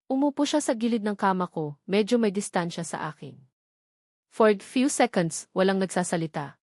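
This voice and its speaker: Female narrator for a romance audio drama, mid-pitched, close dry recording. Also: animated, normal speed, a little mellow, no echo. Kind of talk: dramatic first-person scripted story narration.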